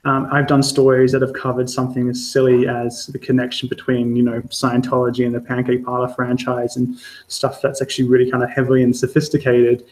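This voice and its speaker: An Australian man in his thirties talks to a live-stream audience about his freelance work, going back over his words with plenty of ums. His voice sits in a middle pitch and stays level, with little rise and fall. He sounds candid and unpolished. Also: monotone, fairly quick, darkish tone, rough recording. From Australia, Adelaide. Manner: hesitant, many fillers and restarts, candid. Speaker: freelance journalist giving a talk. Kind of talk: informal talk to an online audience. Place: home or studio for a live stream. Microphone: streaming setup.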